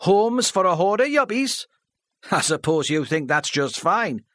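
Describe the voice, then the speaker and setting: A man's voice: high voice, big pitch swings, measured pace, no room sound, clean studio sound, pitched above the performer's own voice, full character voice. A man doing a sneering village bully. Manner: loud, sneering, jeering. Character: big brash village mechanic, sneering bully.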